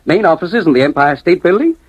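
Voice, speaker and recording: Husky, grandiose American salesman. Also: a little high, animated, a little fast, no room sound. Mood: hearty, pushy. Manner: persuasive, flowery, emphatic. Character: smooth-talking, persistent male romance-service salesman, genial and grandiose. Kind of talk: 1950s radio drama acting, sales pitch. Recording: dull vintage radio recording.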